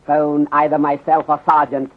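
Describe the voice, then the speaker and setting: A man's voice: fairly high pitch, monotone, lightly acted. Man playing a courteous Chinese detective, muffled vintage radio. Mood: polite, instructing. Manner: formal, deliberate, clipped phrasing dropping small words. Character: courteous, shrewd, methodical Chinese male detective inspector. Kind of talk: 1930s radio serial acting. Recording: muffled, husky-sounding 1930s radio recording.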